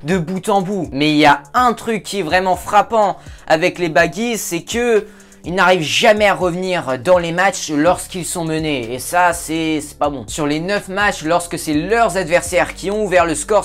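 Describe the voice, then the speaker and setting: A man's voice: high voice, normal speed, a bit bright, soft background music. Chatty man joking about football. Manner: joking, chatty, addresses viewers directly; frequent filler hesitations. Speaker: football show host. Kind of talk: casual football commentary vlog.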